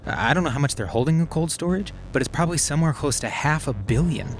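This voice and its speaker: An actor playing a sharp, methodical schemer who explains things conversationally in a naturalistic audio drama. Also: male voice, a little high, lively intonation, fast talker, a little hiss or hum. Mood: urgent. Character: sharp, methodical male schemer plotting revenge on a swindler.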